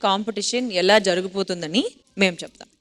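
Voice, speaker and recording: A woman's voice: mid-range voice, brisk, fairly bright tone. A woman speaking as a dance show judge, explaining competition standings on stage.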